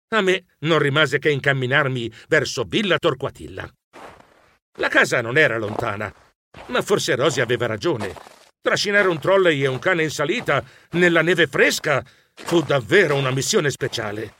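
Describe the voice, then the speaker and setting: Bashful, fretful gentleman mouse narrator, children's audiobook. Fairly high pitch, animated swings, close dry sound. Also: normal speed, slight background noise. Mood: wondering, animated. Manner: expressive, fretful, easily embarrassed. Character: anxious, bashful gentlemanly male mouse, telling his adventure.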